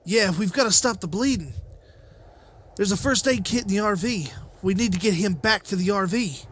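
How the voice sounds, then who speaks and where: A man's voice: sits high, fairly bright tone, slightly rough. A man playing an anxious, determined father and survivor leader in a motion comic, speaking with urgency. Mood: urgent, determined. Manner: urgent, halting. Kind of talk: dramatized motion comic dialogue.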